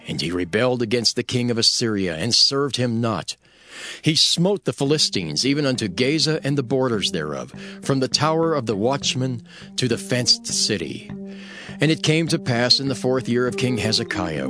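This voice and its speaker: Male scripture narrator, animated and solemn. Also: mid-range voice. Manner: solemn, storytelling. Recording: music bed under the voice.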